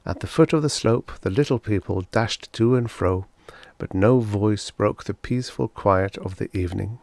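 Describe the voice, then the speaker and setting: A man's voice: fairly low pitch, a little mellow, no echo. A man reading a scripted short story aloud as an audiobook narrator.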